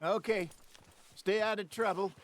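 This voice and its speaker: An actor playing a smooth-talking, genial American outlaw con man in a video game cutscene, persuasive and wry. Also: high voice, fairly even intonation, a little slow. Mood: easygoing.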